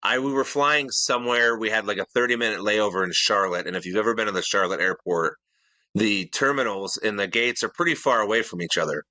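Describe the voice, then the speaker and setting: A man's voice: on the low side, brisk, bright, forceful tone, no echo, poor audio. A middle-aged man co-hosting a casual podcast chat, earnest and detail-minded, often restarting his sentences. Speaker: podcast co-host.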